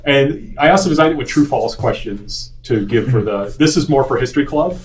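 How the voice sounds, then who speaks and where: A man's voice: medium pitch, animated, brisk. A man running a board game session, casually explaining the rules as he goes. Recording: noisy room with clicks and game-piece handling.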